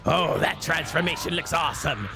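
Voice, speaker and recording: A man's voice: a little high, animated, moderate pace, creaky, voice pushed up. A man voicing a vengeful, mocking alien parasite villain in a theatrical, cackling video game style. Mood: taunting, exultant. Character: gloating vengeful alien parasite villain, sneering at monkey foes. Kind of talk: live improvised video game villain dubbing. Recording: loud game music behind.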